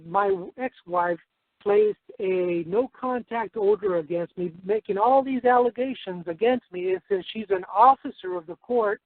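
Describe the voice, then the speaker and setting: A man's voice: high voice, a little slow, no echo. A man calling in for advice, raised in the United States, Spain and Mexico, explaining himself casually and hesitantly with repeated phrases. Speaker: caller seeking advice. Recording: narrow-band phone line.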